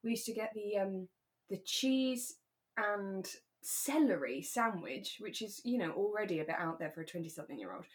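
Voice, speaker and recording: A woman's voice: medium pitch, normal speed. A British woman in her thirties chatting casually and animatedly as a podcast co-host. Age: 30s. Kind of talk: relaxed casual chat about a radio drama.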